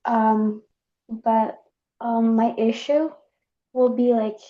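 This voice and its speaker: A woman's voice: on the high side, level tone, drawn-out words. A young woman from Indonesia whose first language is Indonesian, speaking hesitantly with many fillers in a casual group discussion. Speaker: student panelist. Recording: dull lo-fi sound.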